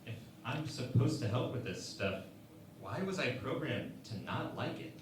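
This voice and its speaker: A man's voice: mid-range voice, lively intonation, normal speed, natural voice. A man playing a put-upon, reluctant robot crew member in a parody radio play, questioning and indignant. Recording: very echoey, distant, noisy room.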